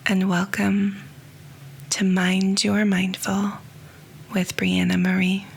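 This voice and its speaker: Slow, hushed woman guiding meditation. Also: mid-range voice, completely flat delivery, vocal fry, husky. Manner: soft, slow and hushed, often near a whisper. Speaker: yoga nidra guide. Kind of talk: guided meditation.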